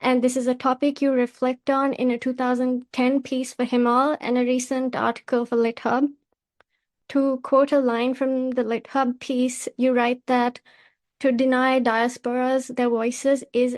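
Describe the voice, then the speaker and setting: A woman with a high voice hosts a podcast. Her delivery is measured and formal, with little rise or fall, as if reading from a prepared script. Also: level tone, a little mellow. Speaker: podcast host and editor. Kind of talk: scripted podcast introduction and questions.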